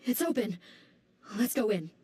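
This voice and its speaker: A woman's voice: a little high, very animated, slow pace, noisy background. A woman playing an earnest, dutiful female officer in a video game. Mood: commanding. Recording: clear game music behind.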